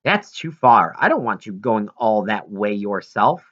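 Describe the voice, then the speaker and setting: A man's voice: on the low side, sing-song, a little slow, a bit bright, dull audio, exaggerated voice. A man reading the lines of a supportive, practical, easygoing grandmother in a storybook. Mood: protective. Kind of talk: audiobook character dialogue.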